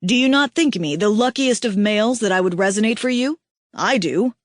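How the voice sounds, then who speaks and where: A woman voicing a big, tender alien male who is devoted to his mate, speaking earnestly and softly. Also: mid-range voice, expressive, moderate pace, no room sound, clean studio sound, close to an everyday voice. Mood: tender teasing.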